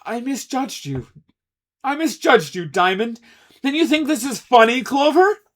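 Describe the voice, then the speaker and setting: A man's voice: fairly high pitch, big pitch swings, unhurried, fairly bright tone, pitched above the performer's own voice, full character voice. A man voicing an earnest, serious teenage boy student in a raised voice.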